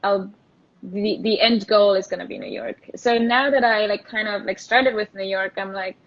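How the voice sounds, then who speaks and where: A woman's voice: mid-range voice, moderate pace. A young woman from Austria, a guest in a casual interview chat, thoughtful and full of fillers and restarts. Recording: lo-fi video call audio.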